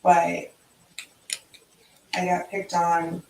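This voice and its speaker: A plain-spoken woman talking slowly in a flat, even voice. She is well off the mic in an echoey room. Loud noise sits under her speech. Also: lowish voice, vocal fry, far from the mic. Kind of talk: casual chat.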